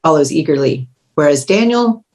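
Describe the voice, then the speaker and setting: A woman's voice: low-pitched, animated, normal speed, a little mellow. A woman reading scripted stage directions as the narrator of a screenplay table read.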